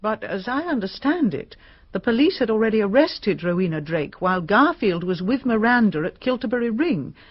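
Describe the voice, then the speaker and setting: A woman's voice: medium pitch, sing-song, normal speed, husky. An actress playing an inquisitive woman in a radio drama, questioning in a puzzled, curious manner. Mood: puzzled curiosity. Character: inquisitive woman listening to a detective's solution. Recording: dull, muffled radio drama recording.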